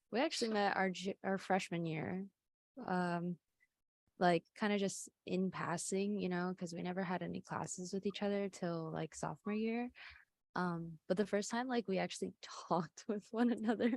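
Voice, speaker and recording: A woman's voice: lowish voice, steady tone, unhurried, gravelly. An eighteen-year-old woman telling a story on a casual podcast, chatty and full of fillers. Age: young adult. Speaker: co-host.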